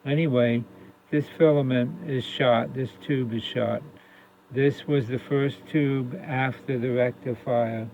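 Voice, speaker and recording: A man talking casually through a hands-on repair demonstration, halting as he thinks aloud, recorded on a phone camera. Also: mid-range voice, vocal fry, dark tone. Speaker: radio repair hobbyist. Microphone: phone camera propped near the workbench. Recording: lo-fi phone recording with faint noise.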